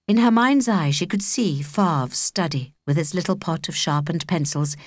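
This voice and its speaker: British woman narrating, lively and dry-sounding. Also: on the low side, no echo. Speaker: audiobook narrator. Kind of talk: expressive scripted narration of a children's story.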